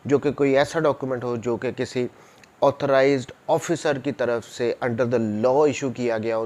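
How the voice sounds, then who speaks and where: A man's voice: mid-range voice, darkish tone. Pakistani man giving an explanatory law lecture. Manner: mixes English legal terms into explanations, repeats points for clarity. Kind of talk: explanatory lecture, reading statutes and explaining them.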